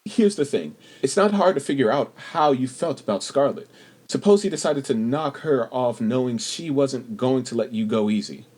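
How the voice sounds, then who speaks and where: An actor playing an eager, earnest young theater assistant turned amateur sleuth in an old-time style radio play. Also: male voice, medium pitch, expressive, moderate pace, a little mellow, natural voice. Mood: earnest, scheming.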